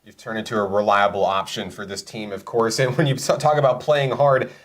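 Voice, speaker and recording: A man's voice: on the low side, fairly even intonation, brisk. A man hosting a college sports broadcast, interviewing a player with a polished announcer delivery.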